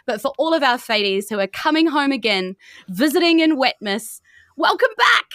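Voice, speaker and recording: A woman with a New Zealand accent, excitedly hosting a podcast as game master in a casual chatty style. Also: high-pitched, moderate pace, no echo, studio quality. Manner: excited, hyped. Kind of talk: podcast introduction, casual chat.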